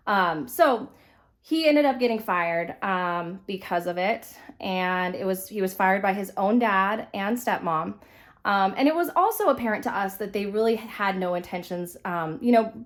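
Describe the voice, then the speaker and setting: A young woman from California chatting casually, with many fillers and restarts, while dressing a doll, her voice a little stuffy from being sick. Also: mid-range voice. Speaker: vlogger, reborn doll collector.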